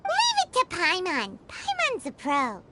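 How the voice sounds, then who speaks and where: A woman voicing a tiny, excitable female fairy companion in a video game, who talks about herself in the third person. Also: very high-pitched, a little slow, noisy background, exaggerated voice. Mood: eager. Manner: speaks of herself in third person, eager and easily spooked.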